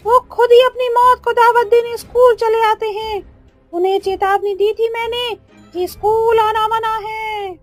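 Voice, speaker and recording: Shrill, very high-pitched vengeful witch teacher. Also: fairly even intonation, a little slow, faint music behind. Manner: menacing, scolding, commanding. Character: vengeful witch ghost of a strict schoolteacher. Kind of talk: horror cartoon story acting.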